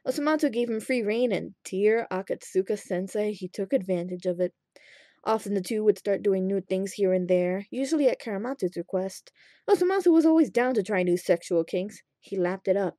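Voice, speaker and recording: A woman reading fan fiction aloud as an expressive scripted narration. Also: medium pitch, fairly quick, no room sound, clean studio sound.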